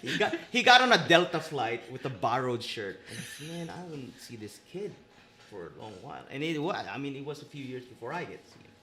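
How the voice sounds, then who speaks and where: A man from the Philippines, hosting a casual podcast chat, animated and quick to laugh. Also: mid-range voice. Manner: animated, laughing, restarts a lot. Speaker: podcast host.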